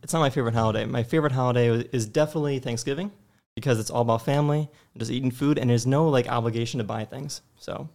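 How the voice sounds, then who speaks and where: A man's voice: medium pitch, rapid-fire, creaky, a little mellow. A man in his late twenties, a podcast guest, telling stories in an eager, upbeat way. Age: 20s. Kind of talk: casual chat.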